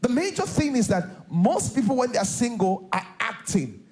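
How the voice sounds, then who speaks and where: A man's voice: extremely high pitch, sing-song, normal speed, darkish tone, a little hiss or hum. A middle-aged Nigerian man preaching a sermon on a large church stage, repeating key lines and calling out for responses from the congregation. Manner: repetitive, call-and-response preaching. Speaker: pastor.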